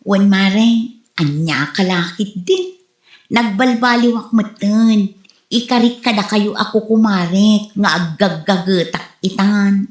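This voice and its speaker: A woman's voice: medium pitch, fairly even intonation, takes it slowly, roomy sound, close to an everyday voice. A woman with an Ilocano Filipino accent playing a defensive, hardworking vendor in a comedy drama, protesting in exasperation. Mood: earnest. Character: gossiped-about woman insisting she has changed, defensive, hardworking vendor. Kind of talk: comedy radio drama acting.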